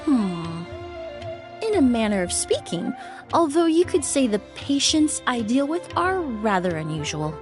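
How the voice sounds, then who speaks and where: Game role: young female perfumer, composed, modest, courteous and explanatory, fairly high voice over faint music. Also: sing-song, a little slow. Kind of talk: video game voice acting.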